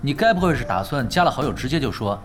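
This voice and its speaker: An actor playing a laid-back, glib gaming veteran who teases and coaxes in an audio drama, with mock-serious turns. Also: male voice, medium pitch, very animated, quick pace, music in the background, lightly acted. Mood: teasing, persuasive. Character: laid-back teasing male gaming veteran coaching his boss. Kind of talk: Chinese audio drama acting.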